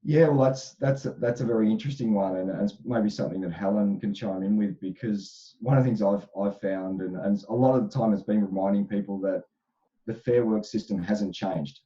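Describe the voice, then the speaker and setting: A man's voice: on the low side, a little fast, mellow, muffled recording. A man speaking as an HR expert on a panel. His voice is slightly crackly, and he answers thoughtfully with frequent restarts. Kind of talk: casual panel discussion.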